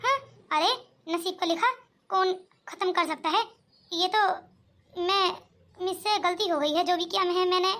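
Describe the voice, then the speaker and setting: Woman playing a shrill-pitched, nagging cartoon mother-in-law. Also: unhurried, sharp tone, heavily acted. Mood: grudging, envious. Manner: nagging, bossy, muttering. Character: scolding, greedy, scheming village mother-in-law. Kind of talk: amateur cartoon comedy skit.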